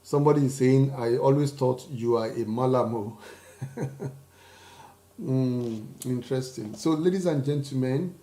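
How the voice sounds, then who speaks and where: A man's voice: mid-range voice, unhurried, dark tone, roomy sound. A man from Lagos hosting a livestream interview, mostly giving brief affirmations and addressing the audience. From Lagos, Nigeria. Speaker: host.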